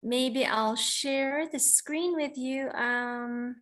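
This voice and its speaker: A woman's voice: high voice, extremely slow, fairly bright tone. A woman from the United States hosting an online poetry reading, introducing and reading her own work in an informal, reflective, explanatory way. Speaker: host of a poetry reading and poet. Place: online video call.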